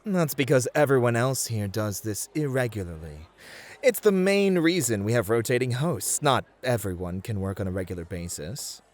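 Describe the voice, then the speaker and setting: A man playing a courteous, playful bunny-club host in a flirty ASMR roleplay, with gentlemanly phrasing. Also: mid-range voice, lively intonation, moderate pace, close to an everyday voice. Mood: polite, explaining.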